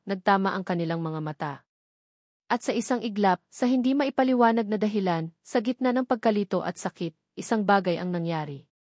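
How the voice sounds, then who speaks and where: A woman's voice: medium pitch, darkish tone, dry recording, studio quality. A woman with a Filipino accent reading a scripted romantic story as a dramatic audiobook narrator.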